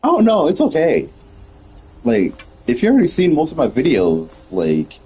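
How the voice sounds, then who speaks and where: Husky-voiced streamer, chatty and animated. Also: medium pitch, normal speed, poor audio. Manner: chatty, talks to self while playing. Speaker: gaming streamer. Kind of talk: casual gameplay commentary.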